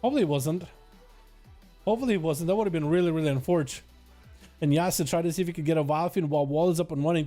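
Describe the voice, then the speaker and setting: A young man with a faint Russian accent, excitedly casting a player-versus-player game match. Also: high-pitched. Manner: excitable, laughs often, says "dude" a lot. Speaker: gaming commentator.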